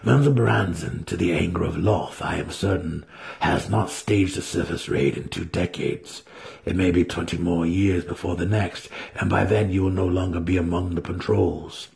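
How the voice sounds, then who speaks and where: A man voicing a scheming, insinuating dark elf wizard in a fantasy audiobook, hushed and breathy, musing and conspiratorial. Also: sits low, fairly even intonation, moderate pace, a little hiss or hum. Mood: astonished. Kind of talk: dramatic fantasy audiobook character reading.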